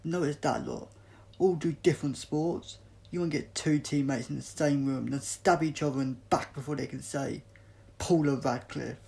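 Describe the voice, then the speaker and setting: A man's voice: fairly high pitch, normal speed, gravelly, a little mellow. A man reading the part of a cynical, streetwise young male athlete in a storybook, talking in a wary, colloquial way. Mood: grimly amused.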